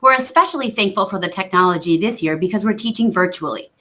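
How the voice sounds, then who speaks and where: Woman, grade-school teacher, bright scripted thank-you. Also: medium pitch, lively intonation, fast.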